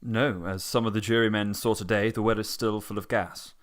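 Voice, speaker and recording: Man acting a low-voiced, matter-of-fact witness. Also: fairly even intonation, a little fast, close to an everyday voice. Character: matter-of-fact distillery man giving inquest testimony. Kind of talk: scripted audio drama acting.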